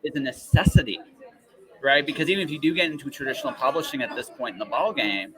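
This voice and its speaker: A man's voice: mid-range voice, fast talker, a crowd in the background, poor audio. A man hosting a casual podcast interview in a rambling, emphatic way, often checking in with the listener. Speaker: podcast host.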